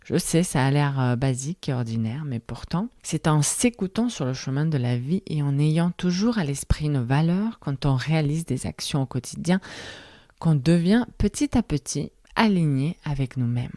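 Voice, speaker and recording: A low-voiced woman in her thirties hosts a reflective podcast monologue, speaking in a language that is not her first. Her tone is mellow and soft-spoken, and she turns over one question after another. The recording is dry and close, with no room sound. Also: lively intonation, moderate pace, no room sound. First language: not French. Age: 30s. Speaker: podcast host.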